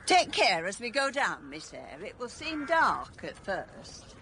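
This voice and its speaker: A woman's voice: medium pitch, sing-song, takes it slowly, sharp tone, slight background noise, full character voice. An actress playing a kindly, chatty, motherly elderly housekeeper in a radio drama. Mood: reassuring. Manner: kindly, fussing, talkative.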